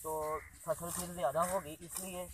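A young man, his voice slightly high, points things out to viewers in a chatty village vlog. He is recorded outdoors in open fields on a camera mic, with clear noise and country ambience behind him. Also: level tone, moderate pace. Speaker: village vlogger. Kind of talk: casual vlog commentary. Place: outdoors in rural rice fields. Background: open-air rural ambience.

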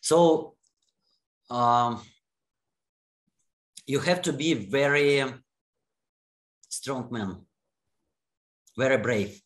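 A man with a Russian accent, speaking English as a second language, giving long deliberate answers in an interview and often restarting his words. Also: mid-range voice, lively intonation. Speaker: interviewee, anti-war activist.

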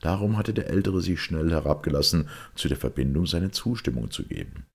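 Male audiobook narrator, rock-bottom register, heavy gravel, expressive and measured literary storytelling. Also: a bass voice. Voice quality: gravelly, husky. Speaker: audiobook reader.

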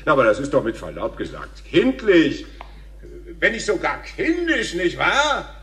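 An actor playing a stammering, self-important and patronizing television talk show host in a radio play. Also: male voice, on the high side, huge pitch swings, a little slow, fairly bright tone, exaggerated voice. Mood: condescending. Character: flustered, smug male TV talk show host. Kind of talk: German radio play acting.

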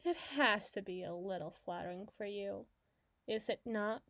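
A woman's voice: mid-range voice, normal speed, a bit bright, no room sound, clean studio sound, lightly acted. A woman voicing an obsessive, masochistic lovesick girl in a theatrical audio roleplay, needy and taunting. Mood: needy, teasing. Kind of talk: dramatic ASMR roleplay monologue.

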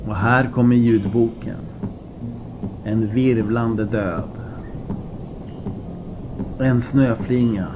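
A man narrating an audiobook from a script, in deliberate phrases with dramatic pauses. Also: lowish voice. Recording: muffled lo-fi with clear background noise.

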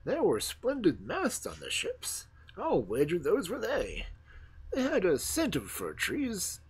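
A woman's voice: on the low side, huge pitch swings, breathy edge, pitched above the performer's own voice, exaggerated voice. A woman doing a thoughtful old stork. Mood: musing. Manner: slow, musing, knowing.

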